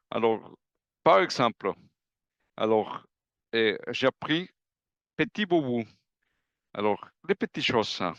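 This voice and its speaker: A man who is not a native speaker giving a grammar report at an online club meeting, halting with many restarts. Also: medium pitch, a bit bright, no room sound, highs cut off. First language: not French. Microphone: video call.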